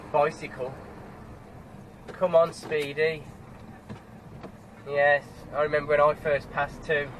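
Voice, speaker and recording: A truck driver with a stuffed-up nose from a cold, chatting casually to the camera from his cab and grumbling at other road users. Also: on the high side. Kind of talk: casual driving vlog commentary. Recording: road and engine noise in cab.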